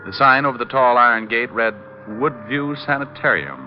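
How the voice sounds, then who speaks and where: A man's voice: medium pitch, normal speed, lightly acted. An American man playing a glib, sardonic private detective who narrates his case in an old radio crime drama. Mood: brisk narrating. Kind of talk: 1940s-50s radio crime drama acting. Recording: dull old radio recording, music behind.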